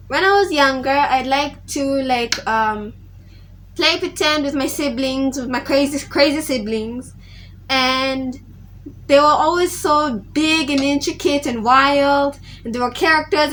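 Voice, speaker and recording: A woman's voice: sits high, a little slow, a bit bright, slight background noise. A teenage girl talking casually to her viewers, rambling and often restarting her sentences. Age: teens. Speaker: vlogger. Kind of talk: casual vlog tribute.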